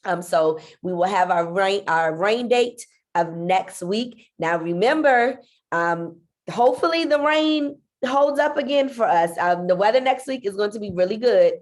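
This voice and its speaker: A woman's voice: mid-range voice, expressive, measured pace. A woman with blocked sinuses, her voice stuffy and nasal. She leads an online meeting for parents, friendly and full of ums as she goes. She speaks into a computer mic on a video call. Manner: friendly, filler-heavy with frequent ums. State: congested, nasal. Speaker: school principal.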